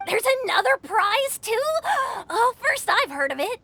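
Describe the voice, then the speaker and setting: Flustered, starstruck registrar. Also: female voice, extremely high pitch, a little slow, no echo, full character voice. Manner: polite, hesitant, easily excited. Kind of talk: video game voice acting.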